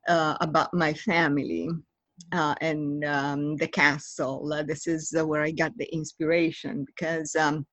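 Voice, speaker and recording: An older woman with a raspy voice speaks very slowly, in a slightly low register. She answers at a halting, thoughtful pace, close to a dry mic. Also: little pitch movement, a bit bright, no echo. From Italy. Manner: halting, thoughtful, pauses often mid-sentence. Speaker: guest, novelist and literature professor. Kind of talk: casual interview answers.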